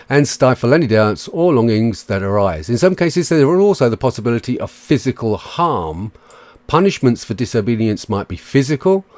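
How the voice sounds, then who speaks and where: Male commentator, mid-pitched, reading with sardonic asides in a dry acoustic. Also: a little mellow, dry recording.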